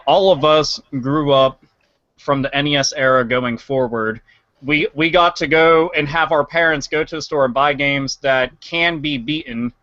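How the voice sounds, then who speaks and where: A man's voice: mid-range voice, a bit bright, no echo. A man talking casually and bluntly about games as a podcast panelist, swearing freely. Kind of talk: casual video game podcast discussion. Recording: dry, dull lo-fi mic.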